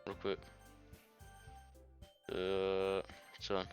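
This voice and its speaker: A man's voice: low-pitched, monotone, drawn-out words. A man reading game text aloud for a let's play, adding casual asides and stumbling over words. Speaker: video game streamer. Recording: slightly muffled lo-fi audio, music behind. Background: game music.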